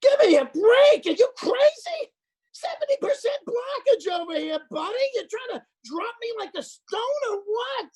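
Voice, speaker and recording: A woman's voice: extremely high pitch, expressive, moderate pace, a little mellow. A woman voicing Mister Lyons, a brash, crude, cowardly man who keeps cracking wise, in a livestreamed script reading. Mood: indignant.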